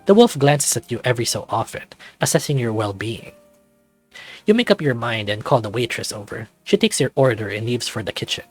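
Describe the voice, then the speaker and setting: Male narrator, scripted story reading, mid pitch, husky, lively intonation, music behind. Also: fairly quick.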